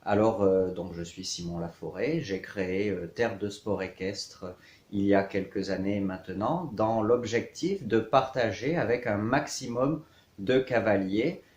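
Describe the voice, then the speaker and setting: A man in his thirties with a fairly low voice speaks as a coach introducing himself to online students. He moves in short, measured phrases with hesitations between them. The room adds a clear echo around his voice. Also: a little mellow. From south-east France. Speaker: horse riding coach. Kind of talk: scripted-outline self-introduction to camera for an online course. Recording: echoey, reverberant room.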